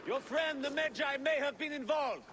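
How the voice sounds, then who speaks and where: An actor playing a threatening soldier in a video game, calling out commands. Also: male voice, extremely high pitch, moderate pace, noisy background. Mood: menacing. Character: threatening male soldier hunting a suspect. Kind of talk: dramatic video game acting.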